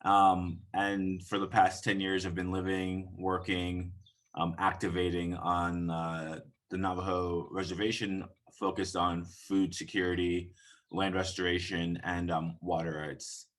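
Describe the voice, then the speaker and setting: A man's voice: deep voice, little pitch movement, measured pace, a bit bright. A man from Trinidad and Tobago introducing himself briefly and matter-of-factly on a video call. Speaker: guest presenter. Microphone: laptop mic on video call.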